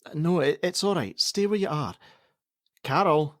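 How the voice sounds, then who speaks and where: A man's voice: fairly high pitch, expressive, moderate pace, no room sound, studio quality, lightly acted. A middle-aged man playing a curt, exasperated social worker and father in a radio play, speaking bluntly and insistently. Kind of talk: naturalistic radio play acting.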